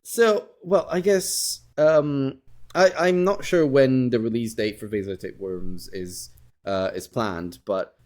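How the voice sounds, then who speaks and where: A man hosting a podcast, interviewing in a casual chat with halting phrasing and repeated words. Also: medium pitch, a little mellow. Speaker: podcast host interviewing indie game developers.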